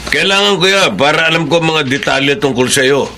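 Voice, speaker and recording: Mang Subas, forceful grasping boss. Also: male voice, on the high side, fairly quick, slight background noise. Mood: demanding, menacing. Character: Mang Subas, shameless money-grubbing older male boss. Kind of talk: Filipino radio drama acting.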